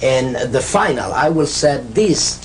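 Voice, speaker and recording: A man's voice: mid-range voice, animated, unhurried, a little hiss or hum. A man explains religion in an emphatic, repetitive, didactic way, as if answering an interviewer. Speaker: religious speaker on prophecy. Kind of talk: interview answers, expounding church history and prophecy.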